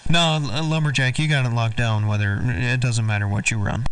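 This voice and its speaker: A man's voice: medium pitch, fairly even intonation, brisk, recorded from a distance, slight background noise. A man chatting casually in CB slang, in an easygoing manner. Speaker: CB radio operator hosting a check-in. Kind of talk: casual radio chat.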